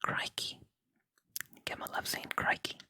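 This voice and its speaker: A gaming host whispering softly in ASMR style close to the microphone, reading game dialogue and commenting on play. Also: unhurried. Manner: unvoiced, gentle whispering throughout.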